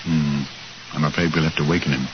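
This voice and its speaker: An actor playing a shrewd, composed English gentleman detective in 1940s radio style, with a measured, formal, authoritative delivery. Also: rumbling low, fairly even intonation. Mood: polite, probing. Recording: noisy muffled 1940s radio recording.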